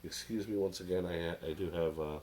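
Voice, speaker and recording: A man's voice: deep voice, fairly even intonation, fairly quick, darkish tone, a little hiss or hum. A man with a cold and a nasal voice, rambling through a casual show-and-tell product review at a desk. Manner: rambling, hesitant, many fillers and restarts. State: has a cold, sounds nasal. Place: at a desk under an overhead camera.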